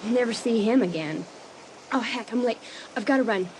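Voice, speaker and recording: A woman playing a lively, competitive, sympathetic teenage girl, the best friend in a dramatised audiobook. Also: a little high, fairly quick, slight background noise, lightly acted, rough recording. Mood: sympathetic, shocked.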